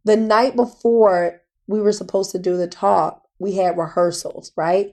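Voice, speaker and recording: A woman hosting a podcast, talking in a casual, animated way. Her voice is naturally raspy and hoarse from a cold. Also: medium pitch, a little slow. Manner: animated, storytelling with "girl" asides. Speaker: podcast host. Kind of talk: casual chat.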